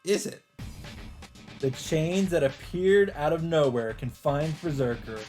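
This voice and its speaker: A man doing a let's play, reading a visual novel aloud as dramatic narration in halting phrases, with some off-the-cuff reactions. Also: a little high, lively intonation, darkish tone. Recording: music behind the voice. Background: game soundtrack music.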